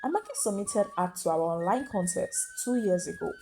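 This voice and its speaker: A woman's voice: mid-range voice, animated, a little fast, gravelly, dark tone, faint music behind, pitched below the performer's own voice. A woman voicing a kindly, earnest female official from an art foundation in a folktale. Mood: earnest, admiring. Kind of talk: dramatic folktale storytelling.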